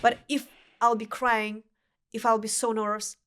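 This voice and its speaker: A young woman with a Russian accent speaks English as a second language, her voice sitting a touch high. She talks earnestly in a casual interview, often restarting her sentences and choosing words carefully. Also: level tone, fairly bright tone, smooth. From Uzbekistan. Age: young adult. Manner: earnest, halting non-native phrasing with restarts. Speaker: podcast guest.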